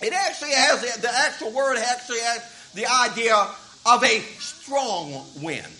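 A man's voice: very high voice, animated, unhurried, bright, forceful tone, poor audio. A man, preaching a sermon in a folksy way that repeats words as he starts thoughts. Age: 50s. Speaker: pastor.

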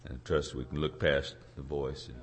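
A hoarse man preaching an informal sermon in a halting, folksy way, searching for his words and clearing his throat. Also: extremely low pitch, flat delivery. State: hoarse from a cold. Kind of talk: informal sermon with congregation responses.

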